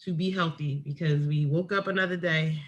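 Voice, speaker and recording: A woman's voice: low voice, steady tone, fairly quick, muffled recording, a little hiss or hum. A woman co-hosting a casual podcast, speaking in an easygoing, earnest way. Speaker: podcast co-host. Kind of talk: casual chat.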